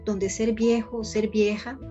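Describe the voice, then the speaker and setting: A woman's voice: mid-range voice, little pitch movement, slow pace, mellow. Woman in her 60s, earnest declarative read, muffled webcam sound, faint music. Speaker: older rights advocate. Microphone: video call webcam.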